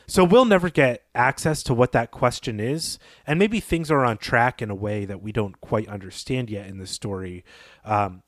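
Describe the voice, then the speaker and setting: A man's voice: mid-range voice, moderate pace, no echo, clean studio sound. A man co-hosting a podcast, giving reflective, analytical commentary on a story in conversational speech. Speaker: podcast co-host.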